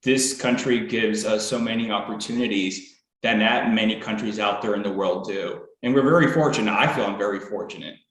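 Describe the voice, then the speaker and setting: A man's voice: lowish voice, fairly quick, fairly bright tone. A man hosting a business interview show, friendly and brief in his replies. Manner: friendly, short affirmations. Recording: roomy echo.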